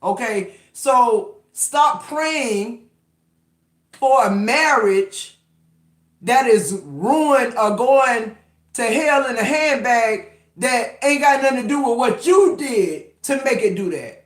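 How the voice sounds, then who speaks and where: High-voiced 50s man in an echoey room. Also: fairly bright tone. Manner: emphatic, profane, rambling with restarts. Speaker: tarot reader. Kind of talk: casual tarot reading to camera.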